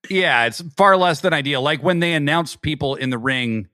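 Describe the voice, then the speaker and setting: A man's voice: on the high side, normal speed, sharp tone, no echo. Matter-of-fact man, dry close voice. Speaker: podcast co-host. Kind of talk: casual chat, show plugs.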